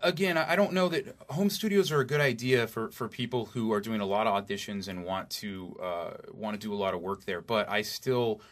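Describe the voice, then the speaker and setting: A man with a notably low voice talks as an expert guest in a casual interview. He explains practical points informally, like a working recording engineer. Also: a little fast, hardly any pauses.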